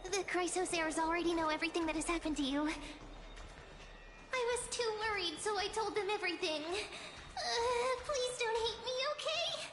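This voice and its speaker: A woman voicing a sweet, anxious, kind-hearted young girl in a video game, speaking in a gentle, earnest, apologetic way. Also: very high-pitched, lively intonation, normal speed, background noise. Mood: nervous, apologetic. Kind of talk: scripted video game dialogue.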